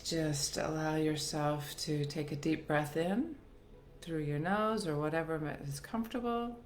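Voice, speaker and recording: A woman leading a guided meditation in a soothing, gentle, unhurried manner with long pauses. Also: deep voice, a little hiss or hum. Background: soft background music.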